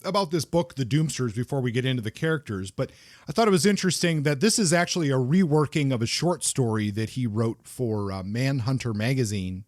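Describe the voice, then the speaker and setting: A man co-hosting a podcast, talking through a crime novel in a thoughtful, halting way with frequent restarts. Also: medium pitch, expressive, no room sound, studio quality. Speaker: podcast co-host. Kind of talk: casual book discussion.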